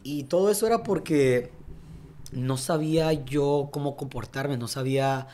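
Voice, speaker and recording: A man's voice: medium pitch, mellow, slight background noise. A young man, a tennis coach and guest, telling his story in a casual podcast chat and often asking "¿sabes?". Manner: reflective, fast storytelling with frequent "¿sabes?".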